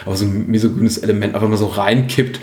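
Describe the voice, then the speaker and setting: A man's voice: lowish voice, level tone, quick pace, mellow, reverberant. A man co-hosting a podcast, chatting casually and hesitantly with many fillers and restarts. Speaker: podcast co-host. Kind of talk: casual rewatch podcast chat.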